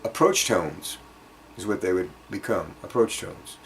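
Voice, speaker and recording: Man, deep voice, informal music teacher, halting explanations, prominent guitar playing. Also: expressive. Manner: halting, thinking aloud while demonstrating on guitar. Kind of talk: informal instructional music lesson. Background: acoustic guitar playing.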